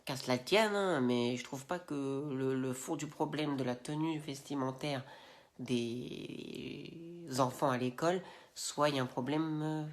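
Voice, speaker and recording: A man speaks to camera for his video channel, nose blocked from a cold. His talk is loose and slangy, wandering through fillers and restarts with a steady indignant edge. His pitch sits in the middle range. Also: slow pace. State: has a head cold, stuffy nose. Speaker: Instagram video creator. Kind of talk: casual opinion rant to camera.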